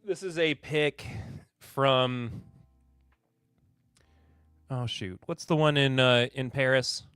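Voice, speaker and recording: A man's voice: mid-range voice, slow, fairly bright tone, smooth, no echo, studio quality, faint music behind. A man from Kentucky hosting a live podcast in casual chat, laid-back and chuckling as he reads and answers viewer comments. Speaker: podcast host.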